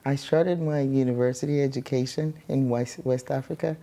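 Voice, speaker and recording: A man from Ghana, a guest scientist explaining his research in measured speech with many pauses. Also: medium pitch, fairly even intonation, mellow.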